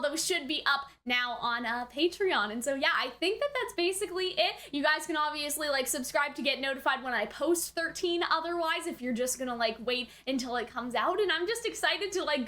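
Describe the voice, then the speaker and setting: Casting: female reaction host, very high bright voice, unhurried pace, informal and expressive. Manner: informal, slangy, many short exclamations and repeated words; emotionally expressive, reacts out loud. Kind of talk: casual, unscripted reaction commentary to an anime episode.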